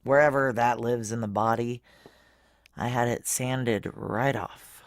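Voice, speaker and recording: A terse, hard-edged security chief. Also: male voice, mid-range voice, unhurried, gravelly, no room sound. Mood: wry, guarded. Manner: clipped, terse, deadpan. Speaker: narrator and lead actor. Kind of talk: noir first-person audio drama narration and dialogue.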